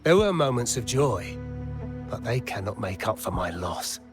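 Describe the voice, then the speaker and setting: A man's voice: on the low side, sing-song, normal speed. Weary, bitter man, music behind. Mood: weary, sorrowful. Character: weary, bitter man grieving his lost friend and past. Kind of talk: scripted video game voice acting.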